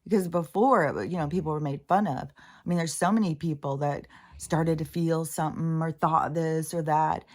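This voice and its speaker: A woman with a notably low, scratchy voice talks through her spiritual experiences in a loose, unscripted monologue. She drifts off mid-thought, circles back, and swears without a second thought, like a host speaking candidly to her channel. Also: normal speed, darkish tone. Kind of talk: rambling casual monologue.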